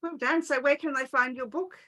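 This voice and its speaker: A woman's voice: high voice, steady tone, brisk, bright, forceful tone. A woman hosting a casual interview podcast, plain-spoken and conversational as she agrees and adds her own views. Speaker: podcast host.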